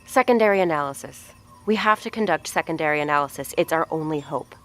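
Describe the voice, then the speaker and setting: A woman's voice: sits low, quick pace, soft background music, close to an everyday voice. An actress playing a blunt, foul-mouthed woman who heads a research facility, talking in a commanding way in an audio drama. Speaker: facility head.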